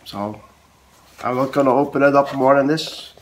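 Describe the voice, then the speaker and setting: A man in his forties with a cold, chatting casually in a low-key, halting way as he hosts a hobby unboxing vlog. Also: steady tone. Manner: halting, low-key, short clipped phrases. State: has a cold. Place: small room at home, indoors, on a couch. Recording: clear background noise, handling clicks.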